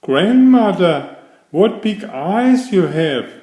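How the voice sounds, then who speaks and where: A man's voice: high-pitched, big pitch swings, slow pace, mellow, echoey room, pitched above the performer's own voice, heavily acted. A man voicing an innocent, polite little fairytale girl in a wide-eyed, earnest manner. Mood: wondering.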